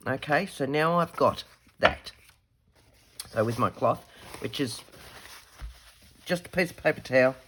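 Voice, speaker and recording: An Australian woman talks through a craft demonstration at her desk. Her voice is croaky from a head cold. She chats casually, thinking aloud and correcting her own words as she goes. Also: extremely low pitch. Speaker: craft tutorial host.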